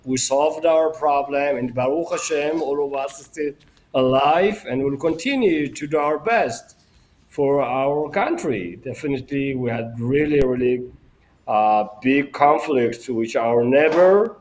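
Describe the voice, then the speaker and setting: A man in his forties with an Ethiopian accent, a native Amharic speaker, answering in a remote interview in a halting way with many fillers. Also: mid-range voice, a little mellow, slight background noise. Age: 40s. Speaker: guest journalist and author.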